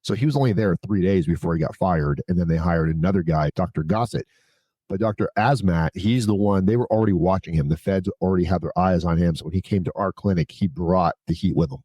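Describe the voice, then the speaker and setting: A man's voice: low voice, fast talker, dark tone, dry recording. A man speaking as a podcast guest, telling his story in a candid, conversational way with blunt, informal, casually sweary phrasing. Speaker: podcast guest, prison consultant.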